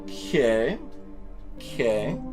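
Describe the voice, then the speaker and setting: Musing male gamer with lively intonation. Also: mid-range voice, very slow, music in the background. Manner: musing, thinking aloud. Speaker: let's play host. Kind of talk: casual game commentary, reading dialogue aloud.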